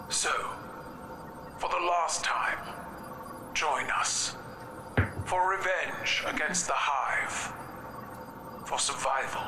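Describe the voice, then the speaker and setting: A man's voice: fairly high pitch, echoey room. A man playing a proud alien warlord in a game cutscene, with a solemn, declamatory delivery. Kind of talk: scripted game cutscene acting.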